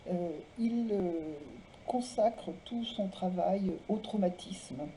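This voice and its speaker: Woman reading literary stuff aloud at a talk, soft mellow tone, measured phrasing, bit of background noise. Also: medium pitch, low-quality recording. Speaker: presenter reading writers' testimonies aloud. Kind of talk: literary talk, biographical introductions and read-aloud texts.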